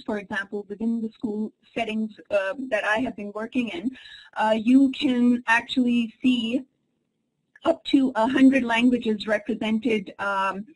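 Breathy-voiced woman giving an academic lecture. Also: fairly high pitch, steady tone, a little mellow, poor audio. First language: Urdu. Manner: measured, explanatory lecturing to an online audience. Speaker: associate professor presenting a webinar. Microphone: computer microphone in an online webinar.

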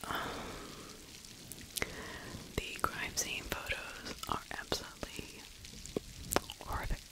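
Whispering woman, slow ASMR storyteller. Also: rough recording. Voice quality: breathy, unvoiced whisper. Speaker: ASMR true crime storyteller. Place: small indoor room. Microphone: large furry-windscreened mic, held very close to the mouth.

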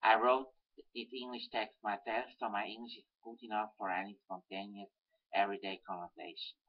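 A man's voice: on the low side, little pitch movement, fairly quick. A young man with a Swiss German accent earnestly reading a letter aloud into his webcam in a small room at home. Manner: earnest, careful, slightly stilted reading. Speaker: webcam video message sender. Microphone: webcam built-in mic. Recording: dull lo-fi webcam audio.

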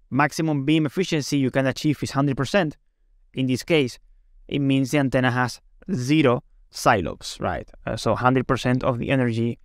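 A man presents a product webinar in a medium-pitched voice. He explains step by step and often tags his sentences with a checking question. The sound is dry and close to the mic. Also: no echo. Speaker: company presenter.

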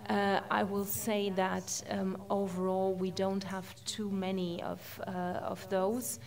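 A woman's voice: medium pitch, flat delivery, slow, gravelly, a little mellow. A woman answering on a panel for a development charity, hesitant and full of fillers.

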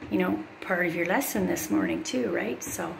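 A woman's voice: lowish voice, normal speed, gravelly, slight background noise, rough recording. A middle-aged woman, a music teacher, chatting upbeat to her students as she walks through her house with her phone. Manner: chatty, upbeat, talks directly to viewers. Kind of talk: casual home tour vlog to students. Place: small home rooms, indoors. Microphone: phone camera held by hand.

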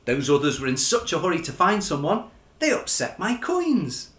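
A man's voice: fairly high pitch, huge pitch swings, normal speed, a bit bright. Grumpy storybook lobster, male reader: growling, possessive, snappish, roomy sound. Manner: grumbling, snappish. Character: grumpy, possessive lobster guarding his treasure.

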